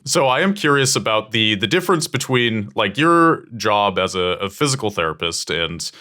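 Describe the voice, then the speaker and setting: A man's voice: fairly low pitch, very animated, normal speed, bright, forceful tone. A man hosting a podcast, asking curious questions with hesitant restarts. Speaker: podcast host. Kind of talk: interview questions.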